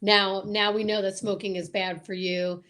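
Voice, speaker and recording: A woman's voice: mid-range voice, little pitch movement, moderate pace, fairly bright tone. A woman co-hosting a casual chat show, emphatic and often repeating a phrase to drive it home. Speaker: show co-host.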